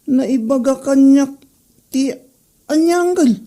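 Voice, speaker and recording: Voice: high-pitched, slow, dark tone. An actor playing a young, polite nephew and new office helper in a comedy drama, with a Filipino Ilocano accent. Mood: exclaiming, questioning.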